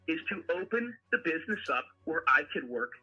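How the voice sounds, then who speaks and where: A man's voice: a little high, very animated, a little fast, sharp tone, husky. A man defensively explaining himself as he is questioned on a phone call. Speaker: self-described private investigator being questioned.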